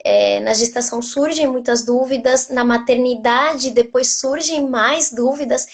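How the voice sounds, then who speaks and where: A woman's voice: sits high, a little slow. A woman from Argentina with an Argentine Spanish accent, a native Spanish speaker and nutritionist, talking on a video call with frequent restarts. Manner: warm, many hesitations and restarts. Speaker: nutritionist guest. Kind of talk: live online interview.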